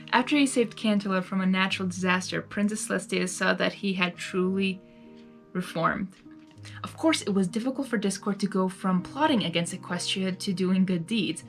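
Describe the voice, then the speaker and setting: A woman hosting and narrating a live story reading in an expressive, giggly manner, reacting aloud as she goes. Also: mid-range voice. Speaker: fan fiction reader and host.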